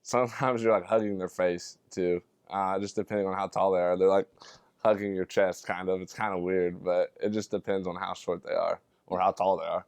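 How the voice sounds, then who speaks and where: A man's voice: low-pitched, flat delivery, fairly quick, a little mellow, clear, resonant tone, no room sound. A young man, a college athlete, giving casual answers in an interview. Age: young adult. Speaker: college basketball player.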